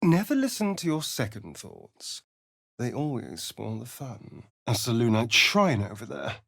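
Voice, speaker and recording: An actor playing a sly, sardonic vampire rogue in a video game, with a theatrical, arch, drawling delivery. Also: male voice, medium pitch, big pitch swings, a little slow, gravelly, fairly bright tone, heavily acted. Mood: sly, teasing.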